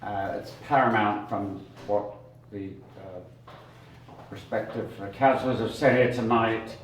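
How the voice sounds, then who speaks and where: Local man at public comment, forceful and blunt; distant, echoey room sound. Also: lowish voice, unhurried, a little hiss or hum. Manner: loud, blunt and emphatic. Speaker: resident speaking at public comment.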